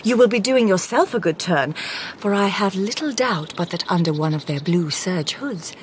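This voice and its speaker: A woman acts a composed Victorian lady detective in a radio drama, shrewd and dryly witty. Her delivery is measured and crisp with a lively melodic range, sometimes sinking to a low confiding murmur. Faint ambience hangs behind her. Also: a little hiss or hum, natural voice.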